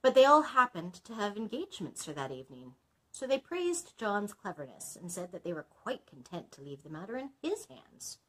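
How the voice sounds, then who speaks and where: A woman's voice: mid-range voice, big pitch swings, normal speed, fairly bright tone, poor audio. A woman reading a children's story aloud as a librarian, expressively, with small asides to listeners.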